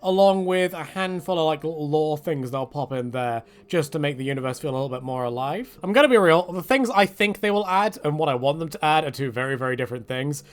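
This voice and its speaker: A man giving casual, rambling video game commentary in an animated way, with jokey exaggeration. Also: fairly high pitch, fast, hardly any pauses.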